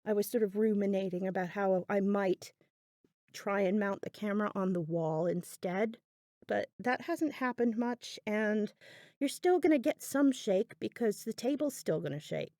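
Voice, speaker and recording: A woman's voice: mid-range voice, moderate pace, dark tone, no room sound, studio quality. A woman giving a casual craft tutorial voiceover, chatty and thinking aloud as she goes. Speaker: craft channel host.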